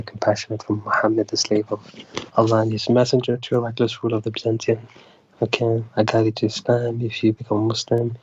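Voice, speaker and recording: A man debating in a hesitant, measured way and reading passages aloud. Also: on the low side, darkish tone, slight background noise. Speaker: debate guest. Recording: muffled dry audio.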